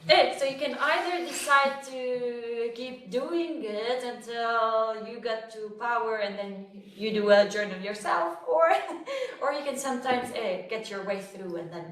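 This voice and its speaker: Halting woman moderator, roomy hall. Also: on the high side, recorded from a distance. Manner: reflective, halting, many fillers. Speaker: panel moderator. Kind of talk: panel discussion. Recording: echoey hall from off-mic.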